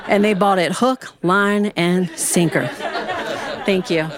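An American woman storyteller telling a comic tall tale in an animated way, with dramatic pauses and drawn-out words. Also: on the low side, a loud crowd. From Ohio, United States. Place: studio in front of an audience.

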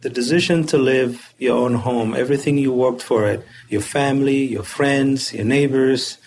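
Middle-aged man from Somalia, mid-pitched, halting emotional recollection over music. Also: fairly even intonation. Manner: halting, emotional, with many fillers. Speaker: refugee services director. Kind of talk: interview recollection.

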